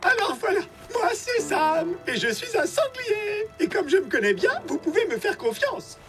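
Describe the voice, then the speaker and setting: A goofy, self-satisfied young male boar in a cartoon. The voice is very high and animated, with a brash, slangy swagger. Music plays clearly behind. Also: moderate pace. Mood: stubborn, proud. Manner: cocky, slangy. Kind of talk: French cartoon dialogue.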